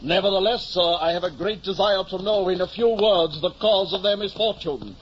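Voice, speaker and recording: An actor playing a deluded, grandiose knight-errant near fifty in florid, archaic 1950s radio drama style. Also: male voice, high-pitched, normal speed, natural voice. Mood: courtly, grave. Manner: pompous, florid, archaic oratory. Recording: muffled, hissy old radio recording.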